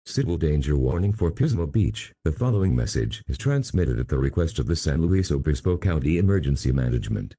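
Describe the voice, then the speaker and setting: Male emergency announcer, extremely deep and dark, formal and impersonal read. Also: big pitch swings, a little fast, few pauses, husky. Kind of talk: scripted emergency broadcast announcement.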